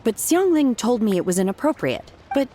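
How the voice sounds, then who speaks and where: A woman's voice: on the high side, sing-song, fairly quick, mellow, a little hiss or hum. A woman voicing an aloof, literal-minded young woman in a game. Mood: puzzled. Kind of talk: game voice acting.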